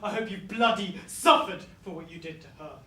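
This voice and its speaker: A man's voice: high-pitched, a little fast. A middle-aged man playing a grieving father recalling his daughter's death, in a stage drama. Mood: bitter. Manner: hushed recollection breaking into anguished shouts. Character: grieving father of a killed girl, raw and haunted. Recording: echoey stage from a distant mic, noisy.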